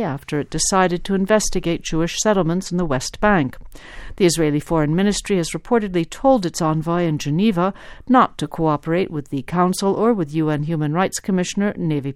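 A woman's voice: on the low side, darkish tone, clean tone, no echo, clean studio sound. A woman presenting international radio news in a scripted reading style.

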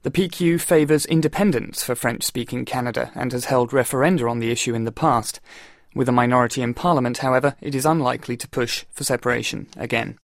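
Male newsreader, medium pitch, scripted bulletin delivery, close and dry. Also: steady tone, fast talker, dry recording. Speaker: radio newsreader. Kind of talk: scripted news reading.

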